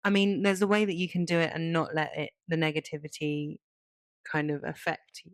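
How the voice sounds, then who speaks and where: A woman's voice: deep voice, level tone, normal speed, no room sound, studio quality. A young woman co-hosting a podcast in an informal, chatty way. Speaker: podcast co-host. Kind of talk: casual chat.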